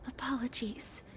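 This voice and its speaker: An actress playing a gentle, solemn young woman in a video game, soft and breathy with formal phrasing. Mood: solemn, soothing. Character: gentle, solemn young woman who eases souls into rest. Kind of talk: scripted game dialogue.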